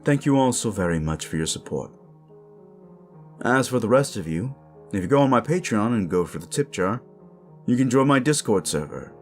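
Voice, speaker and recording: A man with a fairly low voice talks casually as host of his own audio channel. His intonation moves up and down in a lively way as he addresses his listeners. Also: moderate pace, faint music behind.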